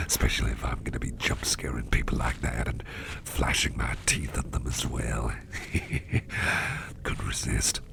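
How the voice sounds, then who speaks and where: A man playing a mischievous businessman boss in an intimate ASMR roleplay, murmuring in a halting, gravelly half-whisper. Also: extremely low pitch, animated, background noise, full character voice. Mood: mischievous, amused. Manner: slow, halting, repeating phrases, softly chuckling. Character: mischievous, toothy businessman boss, affectionate and covert.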